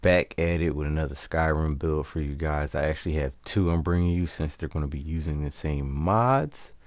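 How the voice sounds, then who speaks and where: Low-voiced man casually explaining a game build. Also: no room sound. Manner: halting, informal slang. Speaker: gaming video creator presenting character builds. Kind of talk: casual unscripted gameplay walkthrough commentary.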